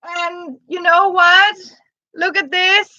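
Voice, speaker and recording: Very high-voiced Danish woman, halting. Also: fairly even intonation, pushed and bright, no room sound, muffled recording. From Jutland, Denmark. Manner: halting, restarts words, reads viewer comments aloud. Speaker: live-stream host. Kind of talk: casual live-stream chat.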